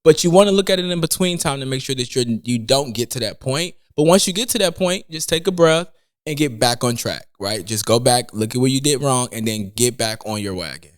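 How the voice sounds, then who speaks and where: A man's voice: medium pitch, lively intonation, a little fast, clear, resonant tone, no echo, studio quality. Podcast guest, young adult man from Detroit, plain-spoken finance explainer. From Detroit, USA. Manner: explains plainly, often checks in with "right?". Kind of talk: casual podcast conversation.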